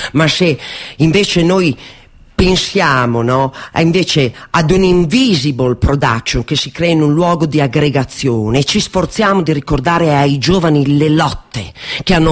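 An actor playing an earnest, eager local politician who wavers and repeats himself, in a naturalistic radio play. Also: male voice, fairly high pitch. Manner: rhetorical when speechifying, hesitant, repeats himself.

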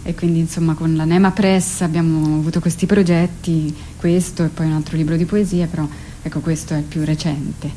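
A woman guest in a radio interview, speaking reflectively and haltingly as she searches for her words. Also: deep voice, little pitch movement, warm tone, a little hiss or hum. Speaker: radio guest, actress and poet.

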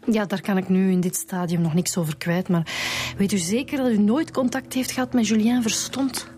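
An actress with a Flemish accent playing a persistent, businesslike police inspector in a naturalistic radio drama, polite but firm. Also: female voice, mid-range voice, steady tone, moderate pace, darkish tone, close to an everyday voice. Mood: polite, probing.

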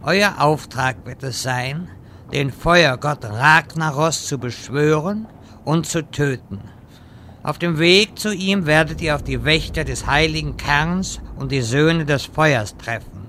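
A vain, theatrical wizard acted by a man in a parody audio drama. His voice is bright and forceful, set a little high, and he speaks in pompous, stilted phrases. Faint noise sits behind him. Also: slow pace. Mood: solemn. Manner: grandiloquent, stilted wording. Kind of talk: parody radio-play acting.

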